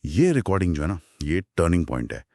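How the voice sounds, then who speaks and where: Low-voiced man spinning a suspense story. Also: sing-song, a little mellow, dry recording, studio quality. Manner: dramatic suspenseful retelling with pauses. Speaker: podcast co-host. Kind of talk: conversational storytelling of a horror story.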